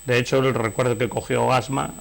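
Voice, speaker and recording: A man's voice: mid-range voice, flat delivery, fairly quick, creaky. Middle-aged Spanish man telling rambling anecdotes. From Galicia, Spain. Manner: digressive, self-correcting. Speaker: interview guest, painter and novelist. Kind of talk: conversational interview, anecdotal storytelling.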